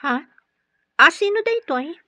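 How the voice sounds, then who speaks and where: Bright, high-voiced young woman. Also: lively intonation, normal speed, no echo, studio quality, full character voice. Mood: suspicious, annoyed. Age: young adult. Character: guarded, easily offended young woman, chatty with friends. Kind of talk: Ilocano radio drama acting. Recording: dull, muffled radio drama audio.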